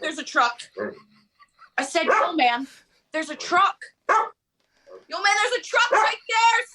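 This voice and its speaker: A high, wildly swinging voice for a brash, blustering carjacker played by a woman in a comic table read. The slangy tough-guy act belongs to a hostage in over his head. There is clear noise on the recording. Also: sing-song, takes it slowly, exaggerated voice. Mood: panicked. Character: brash male carjacker turned panicked hostage.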